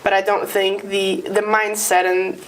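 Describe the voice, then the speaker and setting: A young woman from England talking casually as an interview guest, telling her story in a lively way and checking in with "right?". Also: medium pitch, measured pace, noisy background. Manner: lively storytelling with tag questions. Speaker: guest employee.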